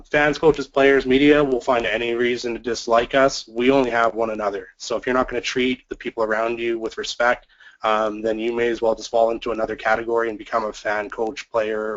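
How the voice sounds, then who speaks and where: A man with a lowish voice speaks with a cold, his voice worn and fading as he clears his throat between points. He talks officials through a presentation on communication. The sound is dull and lo-fi. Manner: frequent throat clearing, fillers. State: has a cold, losing his voice. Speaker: basketball referee presenter.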